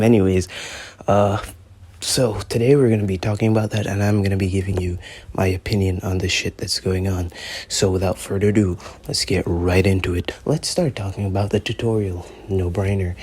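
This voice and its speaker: Brief: teen boy, gaming YouTuber, deep husky voice, rambling and foul-mouthed review. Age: teens. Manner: rambling, profane, joking. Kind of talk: gameplay review commentary.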